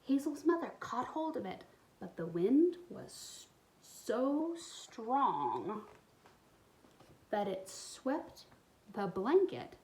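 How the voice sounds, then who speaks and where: A woman with a fairly high, soft-edged mellow voice reads aloud for schoolchildren. Her storytelling is expressive, with explanatory asides suited to young listeners. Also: very slow, rough recording. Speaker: storytime reader for a school community. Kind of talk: children's picture book read-aloud with asides.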